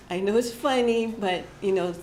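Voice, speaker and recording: A woman giving a short inspirational church message, gentle and halting, often laughing at herself. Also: mid-range voice. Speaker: church speaker. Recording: echoey hall with faint room noise.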